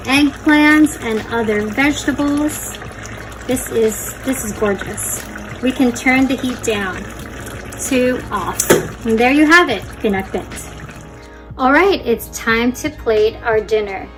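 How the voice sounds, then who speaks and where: A woman's voice: high-pitched, background noise. A young Filipino-American woman, a native Tagalog speaker, cheerfully talking viewers through a cooking demonstration step by step. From Philippines. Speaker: cooking channel host.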